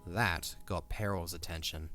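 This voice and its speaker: A man's voice: sits low, fairly even intonation, measured pace, pushed and bright. A man giving scripted third-person narration for a fantasy audio drama. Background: faint music.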